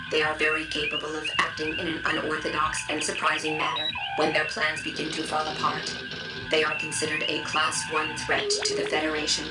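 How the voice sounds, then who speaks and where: A woman playing an impassive female computer from a sci-fi game, low and utterly flat. She reads out records with formal detachment. The voice sounds distant and echoey under very loud music. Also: close to an everyday voice. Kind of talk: scripted database narration.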